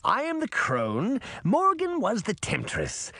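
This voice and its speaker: A man voicing an ancient, imperious witch who speaks slowly and with authority. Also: very high-pitched, wildly swooping pitch, vocal fry, sharp tone, no echo, clean studio sound, voice pushed up, heavily acted. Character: ancient imperious female witch, slow and commanding.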